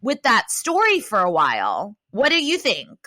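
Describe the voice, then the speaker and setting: A woman guest chatting animatedly on a podcast, loud and rambling, with many fillers, speaking close to her microphone. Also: fairly high pitch, wildly swooping pitch, normal speed, dry recording. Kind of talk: casual chat. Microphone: close to a desk microphone.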